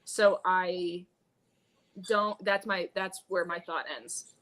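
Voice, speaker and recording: A woman's voice: on the low side, fairly even intonation, slow pace, a bit bright. A woman chatting casually and informally as a guest host on a book discussion live stream, exclamatory and often feeling her way through thoughts. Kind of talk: casual chat. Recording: clearly noisy room ambience.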